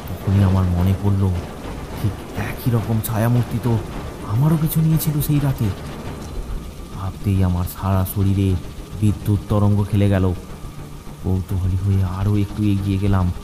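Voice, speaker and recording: A man's voice: lowish voice, moderate pace, pauses often, background noise. A man narrating a scripted first-person horror story in a dramatic, suspenseful way. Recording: loud music and rain behind. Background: music and rain sound effects.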